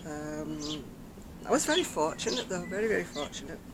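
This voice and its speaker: An older woman with a very soft, quiet voice chats to camera as a craft vlogger, rambling and self-mocking, recorded outdoors on a decking. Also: low-pitched, sing-song, moderate pace, very noisy. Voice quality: very soft, quiet voice after throat surgery. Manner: chatty, rambling, self-mocking, laughs softly. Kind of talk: casual chat to camera. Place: outdoors on a decking by a brick wall. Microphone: camera in front at close range.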